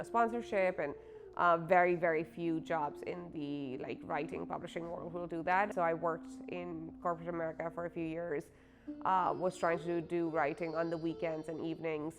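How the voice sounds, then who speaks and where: A woman author from Pakistan, talking casually in an interview about her writing with many fillers. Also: low-pitched. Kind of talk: interview, personal story.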